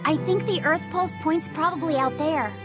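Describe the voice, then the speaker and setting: Woman as a high-voiced, earnest young boy. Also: normal speed, loud music behind the voice, close to an everyday voice. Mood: earnest, wary. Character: gentle, earnest, timid young spirit boy.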